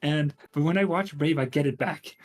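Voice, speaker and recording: A man who is not a native English speaker, chatting casually on a game stream in a halting, tentative way, often repeating himself. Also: fairly high pitch. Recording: music behind the voice. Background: background music.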